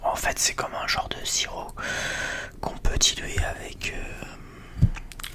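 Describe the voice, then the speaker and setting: A person whispers a breathy, hesitant, rambling ASMR chat to viewers as a video creator. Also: takes it slowly.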